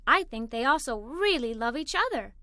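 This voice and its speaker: A woman reading a scripted children's story aloud in an animated, expressive way. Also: sits high, moderate pace, no room sound, studio quality. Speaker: story narrator. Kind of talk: scripted children's story read-aloud, first person as a young girl.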